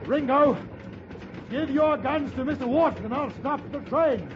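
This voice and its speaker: A man playing a brave, composed gentleman adventurer in a 1950s radio western, clipped and resolute. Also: very high-pitched, measured pace. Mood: defiant. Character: brave, composed gentleman adventurer narrating his own tale. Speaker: lead actor and narrator. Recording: dull, very noisy old radio recording.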